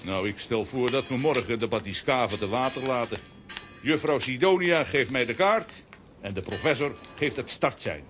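Man acting a formal captain, lively intonation. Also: medium pitch, normal speed, music in the background, close to an everyday voice. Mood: courteous. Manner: courteous, formal. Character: smooth, formal male rival sea captain. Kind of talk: children's radio play acting.

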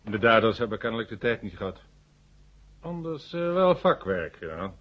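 Matter-of-fact police inspector, low voice, very varied intonation, radio drama, dull old recording. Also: male voice, very animated, moderate pace. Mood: factual. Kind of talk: 1970 Dutch science-fiction radio drama acting.

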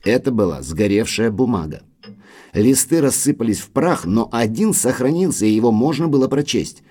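Man acting a husky, deferential butler. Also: medium pitch, lively intonation, warm tone, close to an everyday voice. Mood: grave recounting. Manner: measured, respectful, formal. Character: deferential middle-aged male butler, loyal and discreet. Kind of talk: audio drama acting.

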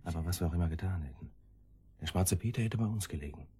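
A man's voice: low-pitched, fast, mellow, breathy edge. An actor playing an older, cautious henchman in a radio drama, hesitant and brooding as he reasons things through. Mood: anxious reasoning.

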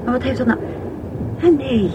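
An actress playing an excitable, insistent wife in radio drama dialogue, speaking in exclamations. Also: female voice, normal speed, highs cut off, natural voice. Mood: agitated, insistent. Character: excitable, easily distracted wife on a car journey. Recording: loud vehicle and rumbling noise.

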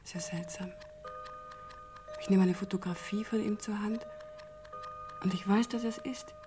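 Actress as wistful, loyal young woman in mourning: medium pitch, soft-spoken, polite, radio crime drama, faint background noise. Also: fairly even intonation, a little slow, lightly acted. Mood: grieving, wistful. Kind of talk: 1970s German radio crime drama acting.

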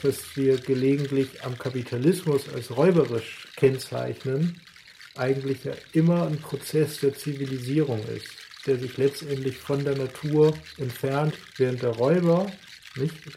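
A man with a creaky, mellow voice thinks aloud in an academic way. He explains his ideas reflectively and off the cuff, with faint noise behind him. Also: mid-range voice, low-quality recording.

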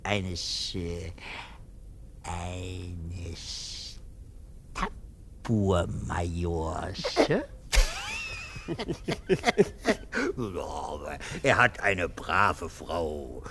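An actor playing a pompous, sentimental and anxious army captain in a theatrical German radio drama. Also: male voice, low-pitched, extremely slow, noisy background, full character voice. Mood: sly taunting. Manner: theatrical, swinging from exclamation to insinuating murmur.

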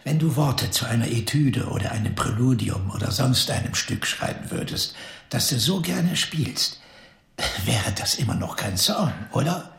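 An actor playing a sharp-tongued, scornful and eccentric older brother, speaking with haughty sarcasm in an audio drama. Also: male voice, mid-range voice, expressive, normal speed, gravelly. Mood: musing. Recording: roomy, echoing sound.

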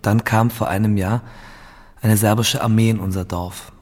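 An actor playing a quiet young village man displaced by war, telling his story plainly in a radio play. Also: fairly low pitch, steady tone, moderate pace, lightly acted. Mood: subdued, recounting.

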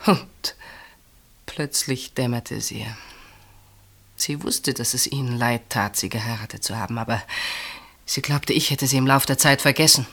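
A low-pitched anguished woman in a German radio drama. She is secretly in love with her sister's husband and speaks with dramatic intensity, moving between outcry and hushed, halting words. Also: full character voice. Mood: halting, distraught. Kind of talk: German radio play acting.

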